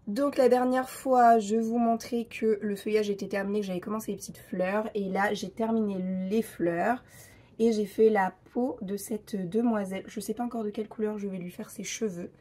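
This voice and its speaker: Hoarse young woman vlogging casually. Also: mid-range voice, steady tone, moderate pace, warm tone. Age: 30s. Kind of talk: casual vlog chat.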